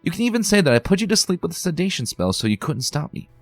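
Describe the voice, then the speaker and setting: A man's voice: sits high, sing-song, fast, soft background music, pitched above the performer's own voice. A man voicing a bookish, determined young female unicorn pony in a fan reading, earnest and anxious. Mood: insistent.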